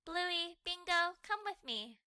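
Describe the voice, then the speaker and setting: A woman voicing a kindly, patient grandmother dog in a storybook, speaking in a gentle, soothing, hushed manner. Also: very high voice, expressive, takes it slowly, dry recording, clean studio sound, voice pushed up, exaggerated voice. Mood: quietly coaxing.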